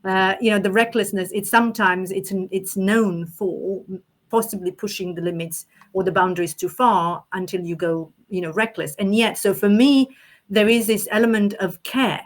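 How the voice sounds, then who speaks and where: A woman's voice: medium pitch, moderate pace. A woman from Romania talking through ideas in a podcast conversation, thoughtful and often rephrasing as she goes. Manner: thoughtful, with frequent restarts and rephrasing. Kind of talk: reflective conversational chat.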